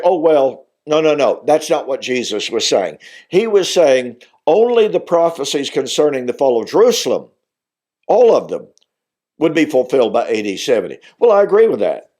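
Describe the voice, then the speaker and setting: A man's voice: on the high side, warm tone. An older man, speaking as a minister teaching on video, his voice weakened from recovering after losing it, earnest and growing emphatic on key points. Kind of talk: Bible teaching monologue.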